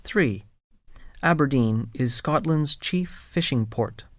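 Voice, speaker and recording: Male textbook narrator, clear diction, dry close studio sound. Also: medium pitch, slow pace, no echo. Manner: even, clearly enunciated. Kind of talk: scripted textbook listening exercise reading.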